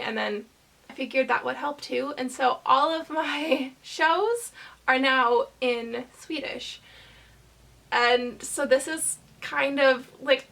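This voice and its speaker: A woman vlogger chatting casually to camera, rambling hesitantly with lots of fillers and pauses. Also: fairly high pitch, gravelly, fairly bright tone.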